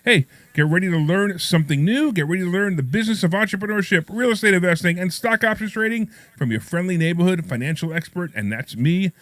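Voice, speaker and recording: A man's voice: high voice, quick pace, few pauses, fairly bright tone, no room sound. New York man with a slight lisp. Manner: rambling, repetitive, self-deprecating joking. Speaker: radio talk show host. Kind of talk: casual, jokey talk radio chat and anecdotes.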